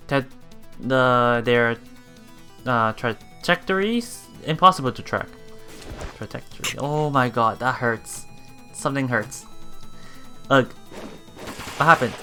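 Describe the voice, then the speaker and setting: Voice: medium pitch, sharp tone. A let's play streamer reading game text aloud with casual reactions, often stumbling over words and repeating them.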